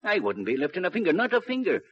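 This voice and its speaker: An actor playing a gloomy, emphatic veteran police inspector in a 1950s radio crime drama. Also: male voice, sits high, huge pitch swings, quick pace, slightly rough, exaggerated voice. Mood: grimly skeptical. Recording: muffled, noisy old radio recording.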